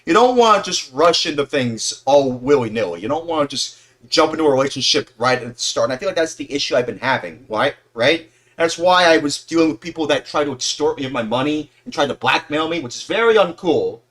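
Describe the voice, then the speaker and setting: Rambling young man, very animated pitch swings. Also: a little fast, hardly any pauses. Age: mid-twenties. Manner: rambling, repeats and rephrases himself, earnest. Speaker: livestream host. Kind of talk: casual livestream chat.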